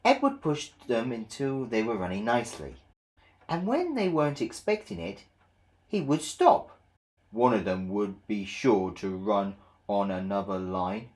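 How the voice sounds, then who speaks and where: A man narrating a children's read-along story in a measured, expressive way. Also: lowish voice.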